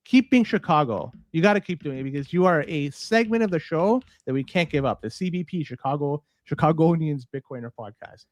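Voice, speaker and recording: A man's voice: on the high side, expressive, fairly quick, hardly any pauses, dry recording, clean studio sound. A man with a Canadian accent co-hosting a podcast in casual, sweary, incredulous rants. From Toronto, Canada. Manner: profane, incredulous, ranting. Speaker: podcast co-host. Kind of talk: casual, unscripted podcast banter.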